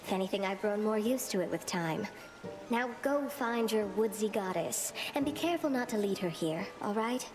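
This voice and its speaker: A woman's voice: mid-range voice, lively intonation, moderate pace, over loud music, close to an everyday voice. Guarded, wistful recluse woman played by an actress. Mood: guarded, wry. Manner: hesitant, wistful. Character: lonely, guarded female recluse, gentle and self-deprecating.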